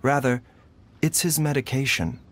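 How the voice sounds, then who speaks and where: An actor playing a gentle, composed, learned doctor in a video game, speaking in a measured, formal, soft-spoken way. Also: male voice, medium pitch, steady tone, a little hiss or hum, lightly acted. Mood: explaining calmly. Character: gentle, composed, learned male doctor, weary but courteous. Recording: music playing behind the voice. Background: music.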